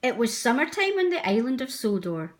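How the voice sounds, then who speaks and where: A woman's voice: fairly high pitch, big pitch swings, brisk. A woman narrating a children's storybook aloud. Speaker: storybook reader.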